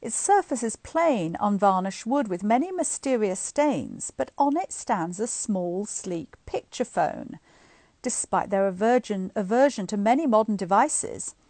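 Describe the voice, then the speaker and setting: High-voiced woman reading aloud, very animated. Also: a little slow, dry recording. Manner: informal, chatty asides between expressive read passages. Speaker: guest reader of a bedtime story series. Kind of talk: live book reading with casual asides to online listeners. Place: indoors at home, on a live online stream.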